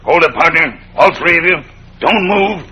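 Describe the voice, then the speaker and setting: An actor playing a stern, stubborn cowboy camp cook in an old western radio drama, speaking in a plain, firm drawl with a husky voice. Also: male voice, fairly high pitch, animated, normal speed, sharp tone, slight background noise. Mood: firm, warning. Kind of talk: 1940s-50s western radio acting. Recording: dull old radio recording.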